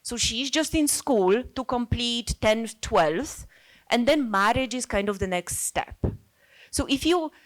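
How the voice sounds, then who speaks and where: A woman's voice: a little high, lively intonation, slow, rough recording. A woman from Poland, a native Polish speaker, speaking informally and earnestly in a group discussion, reflecting and thinking aloud. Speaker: NGO worker speaking as a participant.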